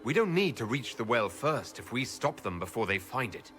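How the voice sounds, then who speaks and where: An actor playing a curious, decisive quest leader in a video game, direct and a little wry. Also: male voice, medium pitch, big pitch swings, moderate pace, fairly bright tone, faint music behind. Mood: challenging. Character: curious, decisive fantasy hero leading a quest.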